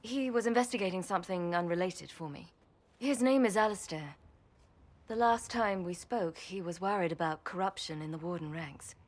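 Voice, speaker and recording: Woman playing a low-voiced, weary champion. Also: normal speed, close to an everyday voice. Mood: grave, informative. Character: weary, modest female champion, sober and helpful. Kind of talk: video game dialogue acting.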